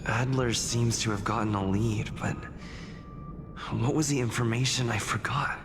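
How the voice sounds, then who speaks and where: A man's voice: mid-range voice, steady tone, moderate pace, background noise, natural voice. An actor playing a forgetful male investigator in a video game, pensively talking to himself. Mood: puzzled, pensive. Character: forgetful young male investigator puzzling things out. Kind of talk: acted video game dialogue. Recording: music behind the voice.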